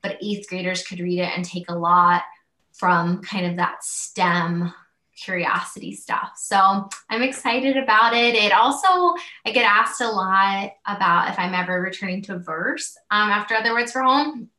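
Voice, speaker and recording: A woman's voice: mid-range voice, a little slow, bright, forceful tone. An American woman in her thirties chatting enthusiastically as a guest author in an interview, often saying "like" and "kind of". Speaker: guest author on a book podcast. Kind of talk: casual interview chat. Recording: roomy echo.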